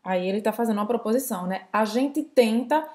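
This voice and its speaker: Brazilian woman co-host, a little high-pitched, lively explainer, quick bursts. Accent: Brazilian Portuguese. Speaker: French teacher, co-host. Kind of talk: casual language lesson.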